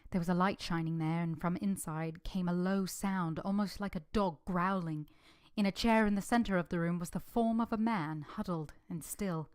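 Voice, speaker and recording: An actress playing a devoted, composed young woman in an old-time radio drama, earnest, deferential and measured. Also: lowish voice, darkish tone, smooth, dry recording, studio quality, lightly acted. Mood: solemn narrating.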